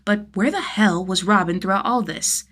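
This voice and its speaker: A woman's voice: mid-range voice, moderate pace, a bit bright. A woman narrating a piece of fiction as an audiobook, reading from a script with expression.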